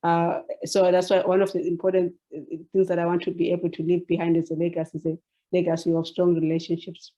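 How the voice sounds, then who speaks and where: Female presenter, Zimbabwean accent, warm dark tone, lower register, self-introduction at a webinar. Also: monotone, fast talker, muffled recording. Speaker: journalist and science communication presenter.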